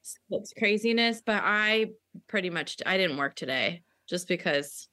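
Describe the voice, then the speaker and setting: A woman in her thirties talks through a stuffy head cold, her throat sore. She chats in a relaxed, conversational way at a middling pitch, often checking in with "you know what I mean". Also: normal speed. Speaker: podcast co-host. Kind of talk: casual chat.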